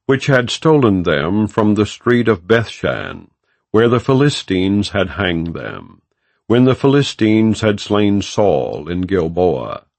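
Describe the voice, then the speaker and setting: A man reading scripture as an audiobook narrator, in a measured, solemn and formal delivery. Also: fairly low pitch, big pitch swings, vocal fry, dry recording.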